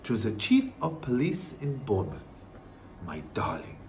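A man plays a wise old grandmother from a storybook. The delivery is soft, hushed and unhurried, with a calm, knowing temper. The words come in a measured, reassuring way. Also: fairly low pitch, slight background noise, voice pushed down, heavily acted. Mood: calmly knowing.

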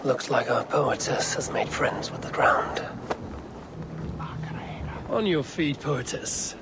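An actor playing a gruff, impatient Norse warrior with a Scandinavian accent and a terse, deadpan manner. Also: male voice, mid-range voice, slow, heavy background noise. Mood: dry, scolding.

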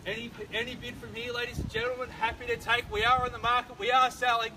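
An Australian man in his thirties working as an auctioneer, running a live property auction with brisk, persuasive sales patter on a suburban street. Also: very high voice, flat delivery. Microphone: far camera, no microphone. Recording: distant outdoor camera audio, loud street noise.

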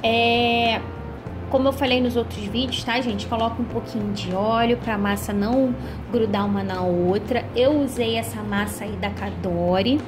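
A woman's voice: a little high, fairly even intonation, moderate pace. Chatty Brazilian woman cooking host. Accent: Brazilian Portuguese. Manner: chatty, frequent "tá?" check-ins. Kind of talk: casual cooking tutorial voice-over. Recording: music bed underneath.

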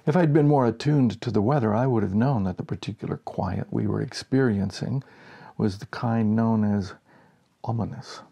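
An American man in his sixties reading a humorous short story aloud in an expressive storyteller's way. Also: fairly low pitch, normal speed, vocal fry, slightly rough, dull audio. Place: small home office, indoors.

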